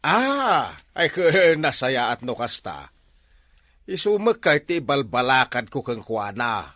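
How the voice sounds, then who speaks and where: Man acting bright, animated older Ilocano villager. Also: medium pitch, slow pace, no echo, studio quality, heavily acted. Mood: nosy, exclaiming. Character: nosy older village man, teasing and bossy. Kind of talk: Ilocano barangay comedy skit acting.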